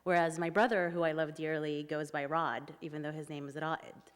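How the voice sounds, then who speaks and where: A woman's voice: deep voice, fairly even intonation, moderate pace, smooth. A woman speaking candidly on an author panel, chatty and laughing often. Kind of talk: panel discussion. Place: stage of an event hall. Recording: reverberant hall.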